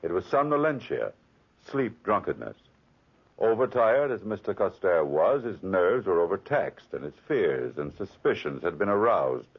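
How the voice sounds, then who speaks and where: Low husky assured doctor. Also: male voice, very animated, normal speed, full character voice. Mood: assured, grave. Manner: clinical, assured. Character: authoritative, formal doctor as expert witness. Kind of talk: 1940s radio drama acting. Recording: muffled old 1940s radio recording.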